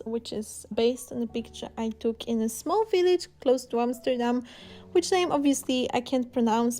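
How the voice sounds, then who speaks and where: A woman's voice: a little high, a little mellow. A young woman with a Polish Slavic accent, an art teacher giving a casual, chatty voice-over for a painting video. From Poland. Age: young adult.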